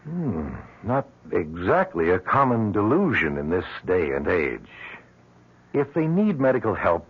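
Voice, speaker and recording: A man playing a cautious professional physician in a radio drama, precise, measured and formal. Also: low-pitched, big pitch swings, slightly rough, full character voice. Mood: cautious, clinical. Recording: dull lo-fi radio recording.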